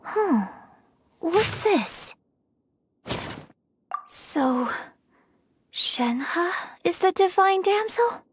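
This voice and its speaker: A woman's voice: very high-pitched, lively intonation, drawn-out words, long pauses, slight background noise, exaggerated voice. A woman voicing a polite, thoughtful young female performer in a video game, speaking in a refined, reflective way. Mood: musing.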